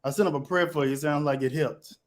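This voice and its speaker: A man hosting a casual talk show, running it with deadpan jokes. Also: fairly high pitch, brisk. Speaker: host. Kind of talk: casual chat.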